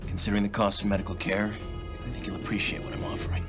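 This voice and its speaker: An actor playing an authoritative, serious military commander who mentors a recruit in a scripted game cutscene, speaking firmly. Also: male voice, on the low side, flat delivery, rapid-fire, music in the background, natural voice. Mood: confident briefing. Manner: firm, instructing. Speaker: commanding officer.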